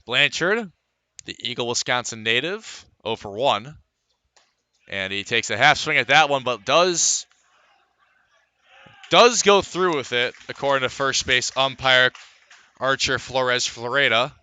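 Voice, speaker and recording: A man's voice: mid-range voice, unhurried, long pauses, sharp tone, clean tone, no room sound. An American man calling a baseball game as a live radio play-by-play broadcaster. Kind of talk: live radio sports commentary.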